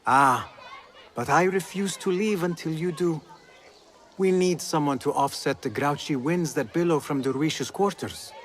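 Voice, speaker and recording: Man acting a cocky young thief, higher-voiced. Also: measured pace, lightly acted. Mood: playful, consoling. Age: young adult. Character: cocky, playful, warm-hearted young male street thief. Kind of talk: dramatic video game acting.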